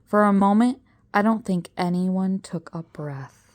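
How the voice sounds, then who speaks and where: A woman's voice: mid-range voice, unhurried, darkish tone. An older woman reading a story aloud in a suspenseful, dramatic storytelling manner. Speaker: storybook reader. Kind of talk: read-aloud story narration.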